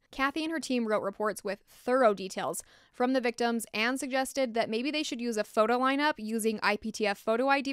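A woman's voice: fairly high pitch, smooth, no room sound. A young American woman narrating a scripted true-crime story in a frank, opinionated and informal way, close to a desktop microphone. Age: young adult. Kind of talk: scripted narration with candid asides. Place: small log-walled room indoors.

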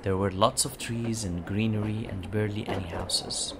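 A man's voice: fairly low pitch, fairly even intonation, moderate pace, gravelly, background noise. A man with a Lebanese accent narrating a bedtime story as a father would, explaining patiently.

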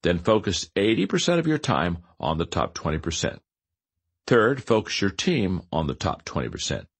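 A man's voice: low voice, very animated, moderate pace, slightly rough. A man reading a nonfiction audiobook in an instructional way, stressing key points. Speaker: audiobook narrator. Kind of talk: scripted nonfiction audiobook narration.

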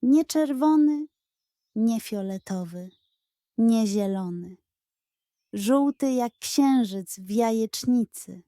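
A woman narrating a children's story in an expressive, scripted storytelling style. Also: fairly high pitch, slow, long pauses, dry recording, studio quality.

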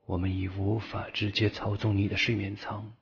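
A man delivering a solemn scripted narration in a whispery, hushed manner. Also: lowish voice, flat delivery, normal speed, gravelly, noisy background. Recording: muffled, dull sound.

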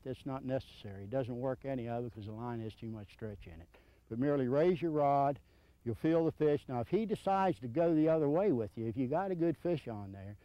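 The sound is dull and lo-fi, like an old video, with very little echo. A middle-aged man with a medium-pitched voice gives practical, hands-on instruction. He is plainspoken and folksy, often repeating a step. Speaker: surf fishing instructor. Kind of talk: informal hands-on instructional demonstration. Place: outdoors on a sandy beach.

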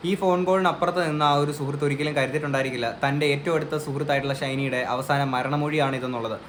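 A man's voice: medium pitch, steady tone, rapid-fire, a bit bright, slight background noise. A man giving earnest, emphatic opinion commentary as a vlogger on a news case.

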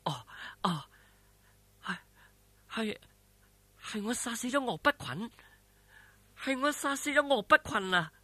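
A timid young nun, played by a male storyteller falters in shock with a Hong Kong Cantonese accent. The high voice swings widely and has a husky edge. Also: big pitch swings, takes it slowly, pauses often, pushed and bright, dry recording, heavily acted. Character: timid, shaken young nun who has just killed.

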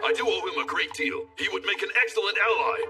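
A man's voice: high-pitched, quick pace, recorded from a distance. A man playing a courteous scaled demon warrior, a father, with formal, dignified speech in an anime dub. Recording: music behind the voice.

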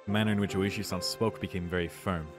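A man's voice: low voice, steady tone, fast. A man reading a visual novel aloud as a streamer, with casual, sweary asides. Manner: casual, profane reactions between read passages. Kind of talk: let's-play narration with casual asides. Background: game music.

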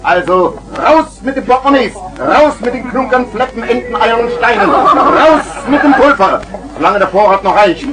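Casting: loud market crier, high and very animated, wheedling theatrical patter, old children's radio play. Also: male voice, normal speed, a crowd in the background, rough recording. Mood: hawking loudly. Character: boisterous male street hawker selling garden gnomes. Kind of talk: 1970s German children's radio play acting. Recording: vintage vinyl radio play recording.

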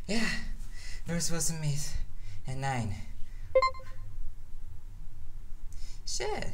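A man's voice: on the high side, huge pitch swings, a little slow, very noisy, full character voice. A man playing a flustered, sarcastic, doting young reporter boyfriend in a one-sided audio roleplay, with dramatic, exasperated banter. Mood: playful, defensive.

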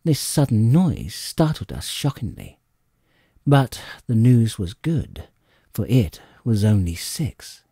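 A man narrating a classic adventure audiobook in an expressive, suspenseful storytelling manner. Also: on the low side, slow, breathy edge, no room sound, studio quality. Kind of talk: dramatic scripted storybook narration.

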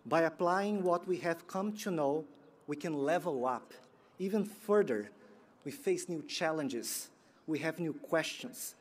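A man, giving a prepared graduation keynote in a measured, earnest way from a podium outdoors. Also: a little high, slight background noise. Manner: measured, earnest delivery with pauses. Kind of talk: prepared commencement speech. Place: outdoor sports field ceremony. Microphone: podium microphone.

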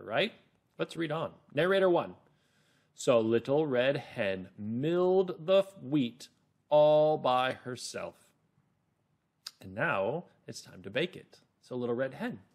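A middle-aged man teaching children through a read-aloud story, deliberate, explanatory and expressive. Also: mid-range voice, vocal fry. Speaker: reading teacher.

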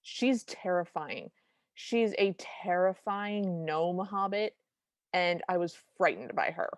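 A woman co-hosting a podcast, casually recapping a film with dry, deadpan humor. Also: fairly low pitch, takes it slowly, dry recording. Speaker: podcast co-host.

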